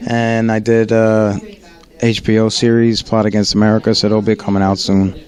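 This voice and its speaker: A man's voice: lowish voice, monotone, normal speed, darkish tone. A man actor answering red carpet questions in an easygoing way, laughing often.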